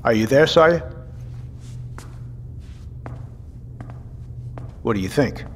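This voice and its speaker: Audio drama captain male actor: middle-aged, courteous leader, mid-pitched with lively intonation, long room reverb. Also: close to an everyday voice. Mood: pensive. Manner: measured, thoughtful. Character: measured, courteous male captain and father leading a settlement. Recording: reverberant room with faint noise.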